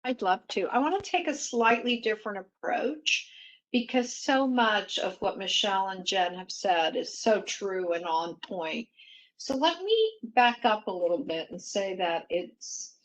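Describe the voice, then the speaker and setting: A middle-aged woman from Mexico, a native Spanish speaker, speaking as a panelist in a thoughtful, measured way with restarts. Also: mid-range voice, lively intonation, low-quality recording. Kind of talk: panel discussion.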